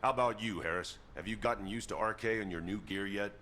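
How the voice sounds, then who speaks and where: A man voicing a responsible, gruff squad leader in a video game, speaking in a plain, no-nonsense way. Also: lowish voice, fast talker, fairly bright tone, slight background noise, lightly acted. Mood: caring, firm.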